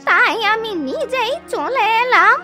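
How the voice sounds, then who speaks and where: A woman voicing a querulous older aunt in a cartoon, loud, scolding and full of complaint. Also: extremely high pitch, expressive, slow, music in the background, exaggerated voice. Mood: aggrieved. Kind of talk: animated cartoon story dialogue.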